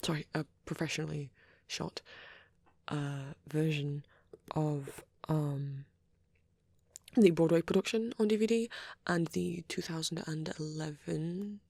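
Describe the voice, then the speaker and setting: A performer voicing a shy, bashful, nerdy young male vampire boyfriend in a soft, hesitant, rambling ASMR roleplay. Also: medium pitch, a little slow, gravelly, no echo, studio quality. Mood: shyly enthusiastic rambling. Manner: hesitant, stammering, apologetic, excitable when rambling.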